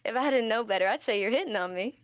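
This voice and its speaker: A woman's voice: fairly high pitch, dry recording, dull audio. A woman playing a tabletop game, chatting informally in character with a giggly manner. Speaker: player. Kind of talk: casual improvised in-character chat.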